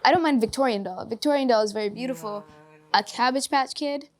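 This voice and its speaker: A young woman chatting casually in a quick question game, telling stories with lots of fillers like "like.". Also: a little high. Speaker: actress, interview guest. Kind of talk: casual rapid-fire chat.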